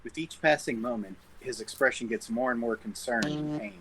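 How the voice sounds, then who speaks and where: A man's voice: medium pitch, moderate pace, a bit bright, slight background noise, rough recording. A man reading stage directions as the narrator of a scripted table read.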